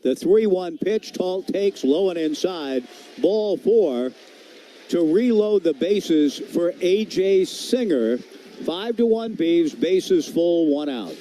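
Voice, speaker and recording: A man works as a baseball play-by-play voice on live radio. His tone is very soft-edged and warm, set a little higher than average, and he describes the action in clipped phrases. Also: expressive, slow, slight background noise. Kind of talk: live radio sports commentary.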